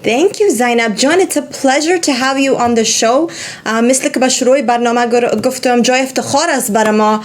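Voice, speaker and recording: Fast-talking woman hosting a talk show. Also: fairly high pitch. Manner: warm, hesitant, with many fillers. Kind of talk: casual interview hosting.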